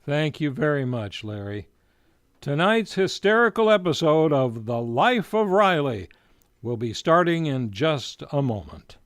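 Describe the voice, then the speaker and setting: A man's voice: fairly high pitch, very animated, a little slow, dry recording. A man hosting a radio show from a studio, reading a script in a formal, theatrical, emphatic announcer style. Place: radio studio, live broadcast.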